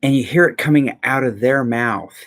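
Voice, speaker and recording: Earnest, mid-pitched male podcast co-host. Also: normal speed, fairly bright tone. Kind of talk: casual, reflective conversation.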